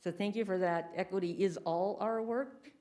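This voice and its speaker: A woman's voice: medium pitch, normal speed, warm tone. A woman chairing a school board meeting, her voice muffled by a face mask as she speaks emphatically about community.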